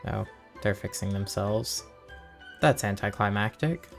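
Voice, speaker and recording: A woman's voice: very deep voice, measured pace, gravelly, soft background music. A woman giving casual, dry and offhand commentary as she streams a video game.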